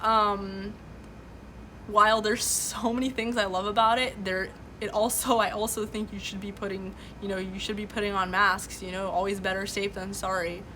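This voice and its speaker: A bright, clear-toned woman whose first language is Farsi hosts a casual live stream from a room at home. She talks earnestly, circling back with frequent "um"s and restarts as she answers her chat, with a faint hum of noise underneath. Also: medium pitch, flat delivery, normal speed, rough recording. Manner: earnest, lots of fillers and restarts. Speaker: livestream host. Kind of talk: casual political commentary, reading chat. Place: home room with boxes behind.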